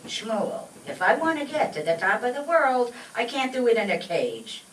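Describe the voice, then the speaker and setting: A woman's voice: on the high side, sing-song, normal speed, far from the mic. Woman playing an indignant old immigrant mother, echoey stage. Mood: baffled. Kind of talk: comic old-time radio skit acting. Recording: echoey stage recording from afar, faint noise.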